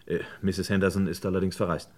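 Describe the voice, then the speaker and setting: An earnest young man in a radio crime play. His voice sits fairly low, and he speaks politely and plainly, as a well-mannered visitor would. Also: level tone, quick pace.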